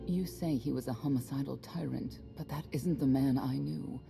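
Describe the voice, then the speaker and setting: A middle-aged woman playing a regretful, proud warrior mother in a video game, speaking in a measured, wistful way. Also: a bass voice, steady tone, creaky, warm tone. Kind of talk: dramatic video game acting. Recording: music under the dialogue.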